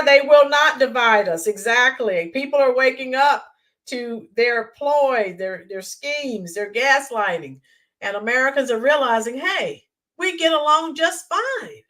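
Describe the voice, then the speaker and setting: A woman hosting a live stream, chatting in a rambling way with viewers and greeting them by name, her voice hoarse and worn. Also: high-pitched, fairly bright tone. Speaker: YouTube channel host. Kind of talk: live-stream chat sign-off.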